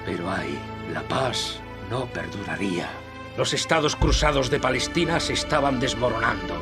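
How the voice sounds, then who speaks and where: An actor playing an old exiled nobleman who recounts history in solemn, measured Castilian Spanish, as a dramatic game narration. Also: medium pitch, animated, over music, lightly acted. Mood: grave, dramatic.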